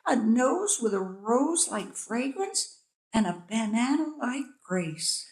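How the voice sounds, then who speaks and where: Woman reader plays young male bee, storybook: squeaky, flattering, swoops all over. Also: takes it slowly, full character voice. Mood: pleading. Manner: squeaky, high and wheedling. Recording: echoey room.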